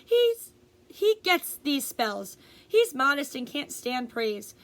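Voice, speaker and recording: Woman as a flustered shouting farmer, bright and high. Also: very animated, takes it slowly, heavily acted. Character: flustered middle-aged male farmer.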